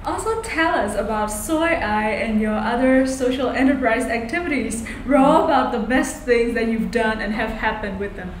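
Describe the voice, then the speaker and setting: High-voiced woman interviewer in an echoey room. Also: lively intonation, normal speed, hardly any pauses. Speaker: interviewer, host. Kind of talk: scripted introduction and prepared interview questions. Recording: roomy echo with background noise.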